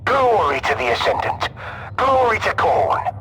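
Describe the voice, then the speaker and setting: An actor playing a treacherous, zealous soldier giving a formal report in a game cutscene. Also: male voice, high voice, very animated, measured pace, bright, forceful tone, a little hiss or hum, full character voice, poor audio.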